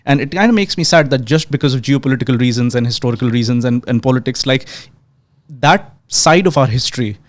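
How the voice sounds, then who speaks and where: A man's voice: mid-range voice, quick pace. A young man from Pakistan hosting a podcast, reading a scripted intro and then asking questions in a hesitant, conversational way with frequent restarts. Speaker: podcast host. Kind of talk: podcast interview.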